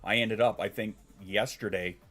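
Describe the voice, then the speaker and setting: A man's voice: fairly low pitch, fairly even intonation, normal speed, a bit bright. Congested man chatting on a stream. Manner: swearing, rambling, reacting to chat. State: congested, sniffling. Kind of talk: casual live-stream chat. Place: home.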